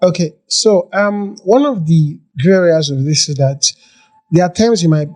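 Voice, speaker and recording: A male podcast host with a slightly high voice. He frames his questions to the guest slowly and carefully. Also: lively intonation, darkish tone. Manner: deliberate, careful framing of questions. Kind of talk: interview questions.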